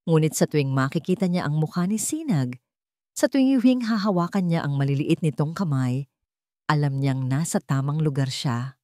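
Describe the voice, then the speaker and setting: A woman reading a scripted dramatic story in a measured, sentimental way, phrase by phrase. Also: low-pitched, expressive, darkish tone, dry recording, clean studio sound. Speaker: story narrator.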